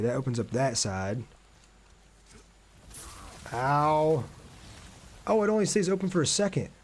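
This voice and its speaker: Male game streamer, mid-pitched voice, casual play-by-play, thinks aloud and talks to viewers. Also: a little hiss or hum. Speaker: livestream gamer, host. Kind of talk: casual livestream gameplay commentary.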